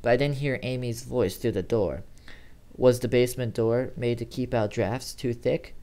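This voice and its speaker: A man's voice: mid-range voice, steady tone, moderate pace, warm tone. A man reading a first-person horror story aloud in a dramatic, theatrical way, sometimes stumbling over words. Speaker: amateur horror story reader.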